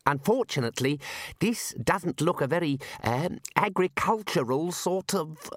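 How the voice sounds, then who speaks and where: A man's voice: fairly high pitch, lively intonation, measured pace, dry recording, clean studio sound, pitched above the performer's own voice. A man voicing an earnest, doubtful junior wizard in an audiobook, polite and hesitant but persistent. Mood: doubtful, worried. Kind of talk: audiobook character voice.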